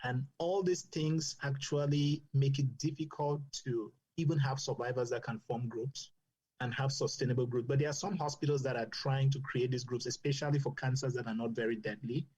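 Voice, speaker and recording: A man's voice: medium pitch, fairly even intonation, normal speed, a little mellow. Earnest man, lo-fi sound. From Cameroon. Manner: earnest, advocating, with frequent restarts. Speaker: doctor and guest. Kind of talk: earnest explanatory podcast talk.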